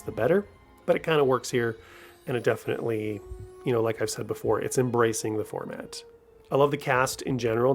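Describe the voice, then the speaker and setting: A man hosting a podcast, giving casual, rambling solo commentary in a conversational way. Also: medium pitch. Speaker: podcast host.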